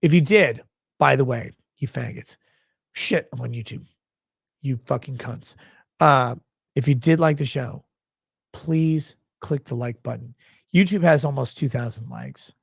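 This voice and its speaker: Gravelly tired guy hosting a stream, husky and kinda fried, swears a lot, rambles. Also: mid-range voice, measured pace, pauses often, no echo, studio quality. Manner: rambling, profane, blunt. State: tired, low on sleep. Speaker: livestream host. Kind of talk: casual livestream sign-off chat.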